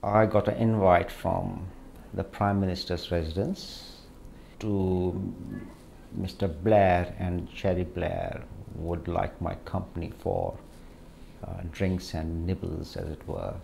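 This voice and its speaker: A middle-aged man from Pakistan, a surgeon speaking hesitantly and reflectively with many pauses in a documentary interview. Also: low voice, little pitch movement, vocal fry, a little mellow, slight background noise. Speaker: hand surgeon.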